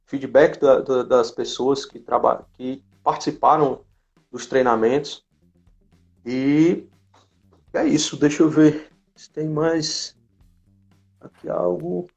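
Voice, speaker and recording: A man with a noticeable fry in his voice hosts an informal online chat. He talks in halting, conversational phrases and often tags them with a small question. The audio is a little dull, like a compressed live stream. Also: medium pitch, steady tone, a little mellow, soft background music. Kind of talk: casual live interview chat. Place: remote live video chat on social media.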